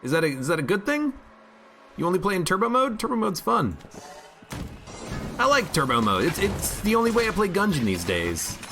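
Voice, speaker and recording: A slightly hoarse man chats his way through a game stream, his pitch bouncing lively between high and low as he reacts. He talks in an easy, rambling manner, and game music plays clearly behind him. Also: normal speed, long pauses. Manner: chatty, reads chat aloud, musing. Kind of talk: casual gameplay commentary.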